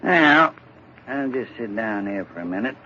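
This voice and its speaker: Laid-back village cop, old radio play, kinda muffled. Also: male voice, mid-range voice, expressive, bright, forceful tone. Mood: folksy. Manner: folksy, unhurried, drawling. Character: easygoing rural male village constable. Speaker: radio drama supporting actor. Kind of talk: 1940s radio acting. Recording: dull old radio recording, faint hiss.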